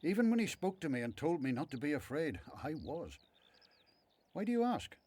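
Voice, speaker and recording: Elderly devout father for radio Bible drama played by a man: slightly high voice, lively inflection, frank and supportive. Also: moderate pace. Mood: gentle, frank. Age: older.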